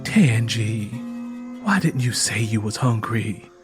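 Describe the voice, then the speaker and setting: A man's voice: fairly low pitch, lively intonation, takes it slowly, faint music behind, voice pushed down. A man voicing a kind, weary, practical country woman with a Southern accent in plainspoken rural dialect for an audiobook. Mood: kind, resigned. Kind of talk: audiobook character voice.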